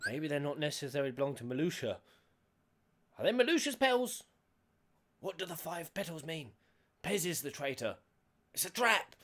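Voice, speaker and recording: A man giving casual, excitable live commentary on game cutscenes, thinking aloud in questions. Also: on the high side, normal speed, long pauses. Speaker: host reacting to video game cutscenes.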